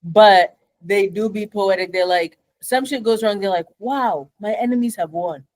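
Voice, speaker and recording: A woman's voice: medium pitch, moderate pace, a little hiss or hum. A young woman with a Kenyan accent, co-hosting a podcast in casual chat, blunt and swearing freely as she rants. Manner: blunt, swears freely, animated when ranting. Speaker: podcast co-host.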